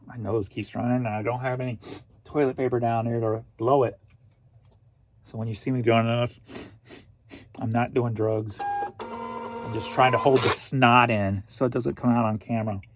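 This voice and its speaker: A man's voice: mid-range voice, normal speed, long pauses. A man in his forties from Missouri, chatting casually and jokingly to himself while packing online orders. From St. Louis, Missouri. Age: 40s. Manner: goofy, jokey, talks to himself. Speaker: online reseller.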